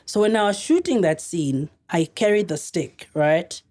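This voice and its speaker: A middle-aged South African woman telling a story as a podcast guest, earnest and halting, often restarting her sentences. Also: on the low side, lively intonation, darkish tone. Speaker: actress guest.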